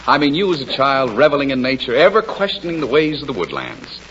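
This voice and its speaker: A man's voice: mid-range voice, big pitch swings, fairly quick. A man with a dry, wry manner, doing scripted 1940s radio comedy banter as host on an old broadcast. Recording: muffled, noisy 1940s radio recording.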